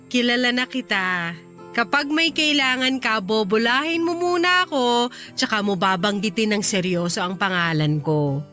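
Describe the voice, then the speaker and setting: An actress playing a sharp-tongued young Filipino wife and mother in a radio drama, expressive and emotional. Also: fairly high pitch, normal speed, faint music behind. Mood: teasing, playful.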